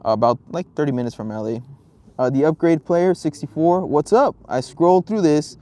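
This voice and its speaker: A man's voice: a little high, normal speed, dark tone. Laid-back young man streaming outdoors. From Southern California. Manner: laid-back, rambling, many ums and restarts. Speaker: fishing livestreamer. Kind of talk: casual live-stream chat, reading viewer comments. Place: outdoors at a park lake.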